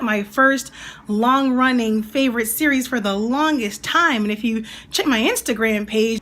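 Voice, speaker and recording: A young woman with a slightly raspy voice, still getting over a cold, chatting enthusiastically and rambling as she reviews an anime. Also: on the high side, a bit bright. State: recovering from a cold. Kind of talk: casual video review.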